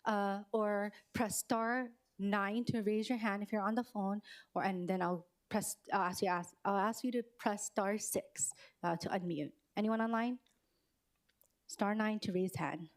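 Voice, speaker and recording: A woman's voice: mid-range voice, steady tone, a little slow. Hesitant woman chairing a council meeting. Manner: rambling, hesitant with many fillers. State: losing her voice. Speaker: committee chair.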